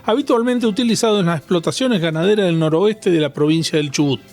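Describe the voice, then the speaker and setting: High-pitched Argentine man reading radio news. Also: fast, a little mellow. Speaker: news reader on a regional farm radio show. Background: faint music bed.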